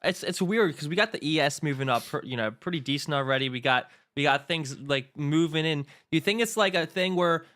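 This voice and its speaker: A man's voice: fairly high pitch, brisk, hardly any pauses, fairly bright tone. A man hosting a live trading stream, laid-back and swearing casually as he chats. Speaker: livestream host.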